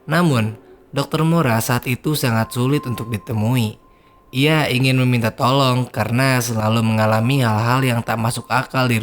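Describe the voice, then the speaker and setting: A man's voice: mid-range voice, slight background noise. A man, hosting a casual, slangy horror film recap for his viewers. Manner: slangy, chatty, addresses viewers as guys. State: hoarse. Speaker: film review host.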